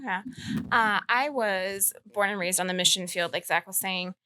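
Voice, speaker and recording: A woman's voice: mid-range voice, moderate pace, sharp tone, slight background noise. A young woman who grew up in Indonesia, sharing her personal faith story earnestly as an interview guest. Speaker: guest, aspiring missionary.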